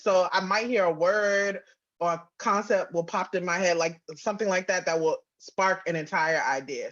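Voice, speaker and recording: A woman talks as a guest in a casual interview, with a medium-pitched voice and an animated, informal delivery. She drops in "like" often as she goes. The recording is slightly dull and lo-fi. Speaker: guest, audio drama writer and producer.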